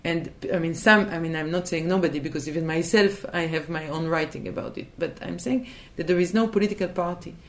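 A woman's voice: fairly low pitch, fairly quick, hardly any pauses. A woman from Israel, answering in a thoughtful interview and hesitating and restarting as she looks for words. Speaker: interview guest.

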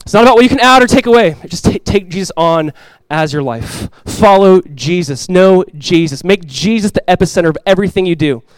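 A man with a fairly high voice preaches in an earnest, conversational way. He keeps asking his listeners rhetorical questions. The sound is dry and close to the mic. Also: expressive, fairly quick, clear, resonant tone, no room sound, rough recording. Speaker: pastor. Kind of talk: expository sermon.

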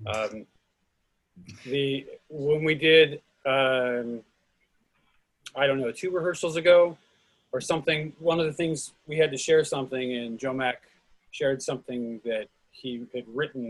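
A middle-aged man giving informal feedback on a play reading in a halting, thoughtful, sincere way. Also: mid-range voice, a bit bright, rough recording.

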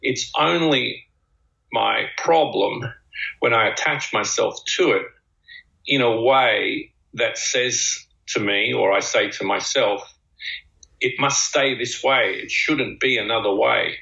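A man co-hosting a talk show, musing slowly in a reflective, conversational way. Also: medium pitch, vocal fry, pushed and bright. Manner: slow, musing, with drawn-out phrases. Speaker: podcast co-host. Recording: dull lo-fi sound.

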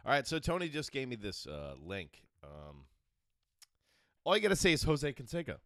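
A man co-hosting a casual podcast, excitable and argumentative, swearing and rambling through his points. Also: mid-range voice, normal speed, soft background music. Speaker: podcast co-host. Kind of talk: casual banter.

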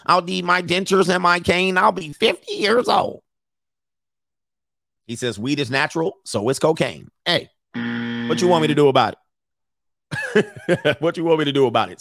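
A man's voice: a little high, a bit bright, dry recording, studio quality. A man in his forties hosting mocking reaction commentary, brash and slangy, laughing often. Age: 40s. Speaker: commentary host.

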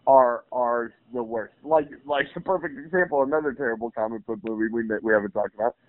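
A man's voice: medium pitch, a little fast, no room sound. A man being interviewed by phone, casually ranting in an opinionated, emphatic, nerdy way. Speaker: interview guest. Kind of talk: casual comic rant about films. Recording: dull phone interview audio.

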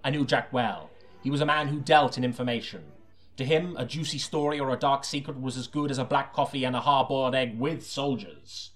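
Casting: male audiobook narrator, medium pitch, for a tongue-in-cheek noir detective story told with deadpan seriousness. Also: fairly quick.

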